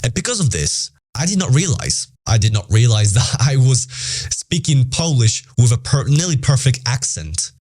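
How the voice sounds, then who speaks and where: A man's voice: medium pitch, very animated, normal speed, a little mellow. A young British man with a British accent, giving a casual motivational talk to teenagers, emphatic and repetitive. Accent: British English. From Britain. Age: young adult. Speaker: podcast host.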